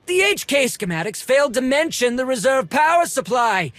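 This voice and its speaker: An actor playing a fussy, haughty collector and droid restorer in a video game, with a prim, formal manner. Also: male voice, very high voice, big pitch swings, moderate pace, a bit bright, dry recording, exaggerated voice. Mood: indignant, defensive.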